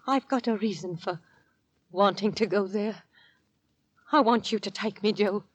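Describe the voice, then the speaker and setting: A woman playing an anxious, fearful middle-aged Victorian mother in a radio drama, tense, halting and urgent. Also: medium pitch, no room sound, lightly acted. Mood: grave, determined. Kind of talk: old-time radio drama acting.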